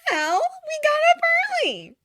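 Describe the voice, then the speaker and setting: A bubbly, excitable young woman with a squeaky high voice that leaps all over the place, as a female narrator puts her on. Her tone is bright and sharp, and she talks in chirpy bursts. This is a storybook reading. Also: a little slow, exaggerated voice.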